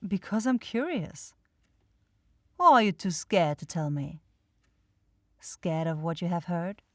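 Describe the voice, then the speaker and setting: Woman as a slow-talking, teasing vampire loner girl. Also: on the low side, animated, a little mellow, dry recording. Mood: curious, playful. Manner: dry, teasing, slow and deliberate. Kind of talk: ASMR roleplay addressed to the listener.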